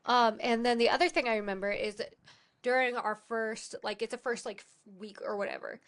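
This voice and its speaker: A woman's voice: fairly high pitch, brisk, fairly bright tone. A young woman co-hosting a podcast, a little congested and sniffly, telling a rambling story. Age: young adult. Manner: rambling, self-correcting storyteller. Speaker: podcast co-host. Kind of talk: casual chat, storytelling.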